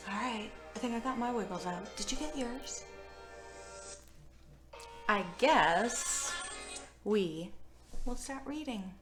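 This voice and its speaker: A woman's voice: fairly high pitch, expressive, slow, long pauses, over music. A woman hosting a children's storybook read-aloud, playful and informal as she chats to young listeners and narrates. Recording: roomy, echoey room sound.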